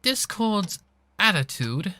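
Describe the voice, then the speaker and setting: A refined, courteous lady pony, acted by a man reading a story. The voice sits fairly high and explains in careful, formal phrases with composed patience. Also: sing-song, slow, bright, forceful tone, full character voice. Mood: grave, explaining.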